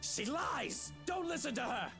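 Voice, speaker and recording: Arrogant businessman husband, scornful and volatile, big pitch swings, game music behind. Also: very animated, unhurried, exaggerated voice. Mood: indignant. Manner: sneering, belligerent, scornful. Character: arrogant, hot-tempered, foul-mouthed wealthy husband, a businessman. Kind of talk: dramatic visual novel voice acting.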